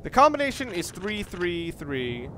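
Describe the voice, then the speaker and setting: A man's voice: high voice, very animated, measured pace, sharp tone. A man giving casual, rambling commentary on a video game, often repeating and rephrasing himself. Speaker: Let's Play commentator. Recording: noisy, game sounds behind the voice. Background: video game ambience.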